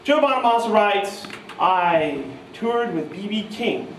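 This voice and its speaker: A man in his thirties from New York state, telling animated, self-mocking stories to a live audience at a guitar clinic. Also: high-pitched, slow pace, heavy background noise. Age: 30s. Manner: animated, self-mocking, mimics voices in quotes. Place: music store clinic room, audience present. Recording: roomy live sound from far off.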